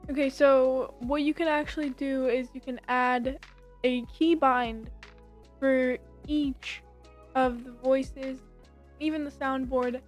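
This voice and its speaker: A young woman hosting a casual software tutorial, explaining things informally step by step. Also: high voice, steady tone, takes it slowly, soft background music. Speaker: tutorial host.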